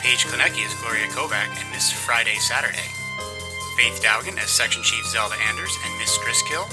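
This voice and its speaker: A man delivers scripted credits in a mid-pitched announcer voice. His delivery is dry and deadpan, with music playing clearly behind him. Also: a little fast, rough recording. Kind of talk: scripted narration with deadpan humour.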